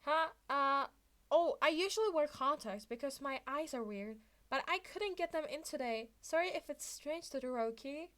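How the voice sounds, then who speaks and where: A woman voicing an excitable, mischievous teenage schoolboy in a story reading, exclaiming and stammering. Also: high-pitched, normal speed, pitched above the performer's own voice. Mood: sheepish.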